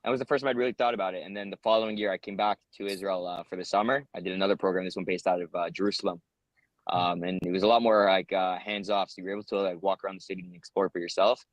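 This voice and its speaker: A man's voice: low voice, steady tone, racing, no echo, rough recording. A young American man answering interview questions in an earnest, rambling way, with many ums and uhs. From United States. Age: young adult. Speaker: interviewee, soldier.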